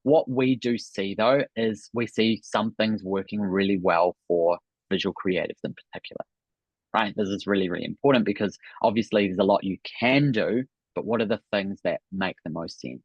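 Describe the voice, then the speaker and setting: A man's voice: on the low side, normal speed, no echo, muffled recording. A man in his thirties with a New Zealand accent, giving an upbeat webinar talk as a business coach and often adding "right?". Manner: upbeat, repeats "right?" and "really, really".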